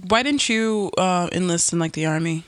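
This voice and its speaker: A man in casual conversation, interjecting with quick questions. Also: high-pitched, fairly bright tone, clean tone, no echo. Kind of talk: casual chat.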